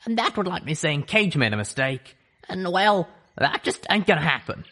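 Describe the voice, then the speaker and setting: A man voicing a gruff, sardonic female griffin mercenary who chain-smokes, with a drawl. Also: on the high side, big pitch swings, moderate pace, pushed and bright, voice pushed up, full character voice. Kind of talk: audiobook character voice.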